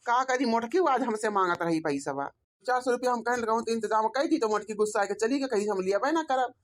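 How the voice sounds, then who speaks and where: A woman's voice: fairly high pitch, animated, fairly quick, natural voice. A woman with an Awadhi accent voicing a pleading, put-upon grown village son and husband in a cartoon comedy. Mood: worried. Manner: complaining, self-justifying.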